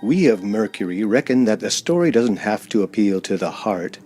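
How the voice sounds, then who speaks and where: A man's voice: on the low side, lively intonation, moderate pace, mellow, breathy edge. A man playing a solemn announcer introducing an old-time radio thriller. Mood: solemn, ominous.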